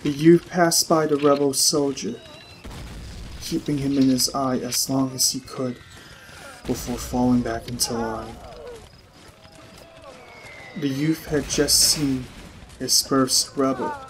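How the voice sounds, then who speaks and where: Male audiobook narrator, medium pitch, dramatic storytelling delivery, light ambience behind. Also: steady tone, takes it slowly, long pauses, slight background noise, poor audio.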